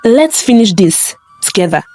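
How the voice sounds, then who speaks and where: Female narrator as steady accuser, blunt, grave, direct, slightly husky, expressive pitch, folktale drama. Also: moderate pace, faint music behind. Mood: grave determination. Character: blunt, steady young woman accusing a family.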